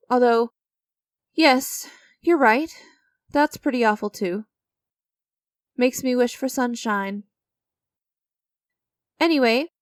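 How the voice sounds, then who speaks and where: A woman playing an enigmatic, creepy stranger in a dramatic ASMR-style audio roleplay, teasing and condescending, with deliberate theatrical pauses. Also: on the high side, no room sound, studio quality. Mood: coy, falsely friendly. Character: enigmatic, creepy female stranger, sly and knowing deal-maker.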